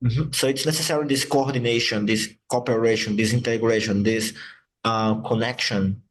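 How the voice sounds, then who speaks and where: A man whose first language is Portuguese, teaching English and slowly repeating words to model their sounds. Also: mid-range voice, steady tone, slight background noise, low-quality recording. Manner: slow, patient, repeats words to model pronunciation. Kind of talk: online English lesson.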